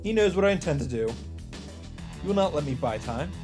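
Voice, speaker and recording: A man's voice: on the high side, expressive, fairly quick, a little mellow. A man hosting a let's play, reading visual novel narration aloud in a dramatic storytelling manner. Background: game music.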